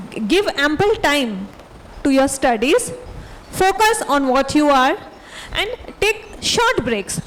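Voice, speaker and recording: A woman speaks in a high voice, advising students directly and calling them her dear children. Her tone is motherly and firm. Faint noise sits under the recording. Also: poor audio. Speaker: school principal on a panel.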